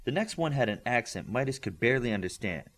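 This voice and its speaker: Male audiobook reader, mid-range pitch, animated delivery of scripted fiction. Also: fast. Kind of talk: scripted story narration.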